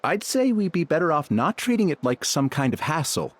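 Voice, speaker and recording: Affable, expressive game chieftain. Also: male voice, a little high, fairly quick. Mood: persuasive, decisive. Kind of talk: video game character acting.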